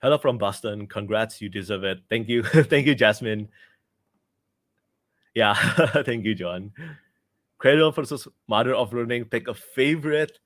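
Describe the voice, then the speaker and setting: A man's voice: medium pitch, measured pace, a bit bright, no echo, studio quality. A young man with an Indonesian accent, chatting casually on a livestream as a book reviewer, laughing often and restarting his sentences.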